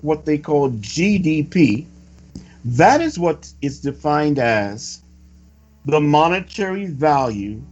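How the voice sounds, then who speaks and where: A man delivers a solemn, scripted religious address in formal, measured phrases. His fairly high voice swings widely in pitch as he speaks slowly. The recording is dull and lo-fi. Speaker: religious reader delivering a prophecy report. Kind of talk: sermon-like scripted reading. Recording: dull lo-fi recording with music behind. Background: music.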